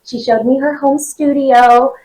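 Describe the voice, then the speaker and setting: Young woman, nasal, high voice, chatty, lots of ums, convention interview guest. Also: fairly even intonation, unhurried, dark tone, low-quality recording. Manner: chatty, many fillers. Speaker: voice actor guest.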